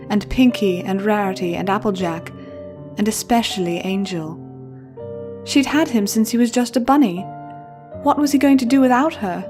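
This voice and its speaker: A woman's voice: medium pitch, a little mellow. A woman reading a scripted story as an audiobook narrator, in a dramatic storytelling style. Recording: faint music behind narration.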